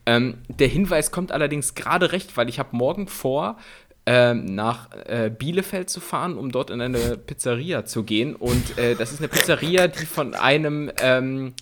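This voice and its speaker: A man's voice: medium pitch, expressive, normal speed, fairly bright tone. A laid-back, joking man chatting. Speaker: podcast co-host. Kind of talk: casual chat.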